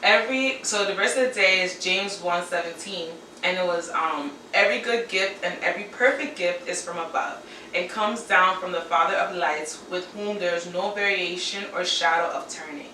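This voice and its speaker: A bright, forceful young woman in her twenties talks in a loose, slang-filled way and laughs easily. She sits a little back from the mic. The room around her is echoey, with a faint hiss underneath. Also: lowish voice, far from the mic. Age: 20s. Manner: animated, slangy, quick to laugh. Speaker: podcast co-host. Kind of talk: casual chat.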